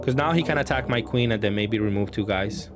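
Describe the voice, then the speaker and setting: A man with a fairly low voice commentates his own card games in a casual, unscripted way. He reasons aloud as he goes and is self-deprecating about his choices. Music plays clearly behind him. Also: fairly quick. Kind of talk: casual off-the-cuff gameplay commentary.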